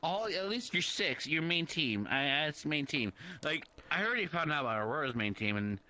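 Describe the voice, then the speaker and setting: A young man in casual online roleplay chat, boastful and teasing, talking in a halting, chatty way. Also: fairly high pitch, big pitch swings, sharp tone. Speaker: roleplayer, guild staff member. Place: online virtual-reality chat. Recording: faint background noise.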